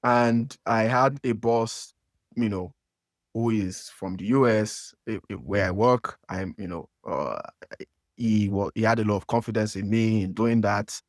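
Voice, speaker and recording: A man's voice: on the low side, a little slow, dry recording, clean studio sound. A man from Nigeria with a Nigerian accent, hosting a podcast interview and asking long questions with many fillers and restarts. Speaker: podcast host. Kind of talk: conversational interview.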